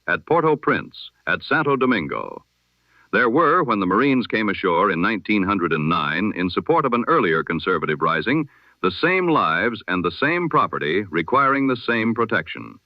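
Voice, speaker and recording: A man reading a history broadcast in the style of an old-time radio newsreader, measured, solemn and formal. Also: fairly low pitch, very animated, dry recording, muffled recording.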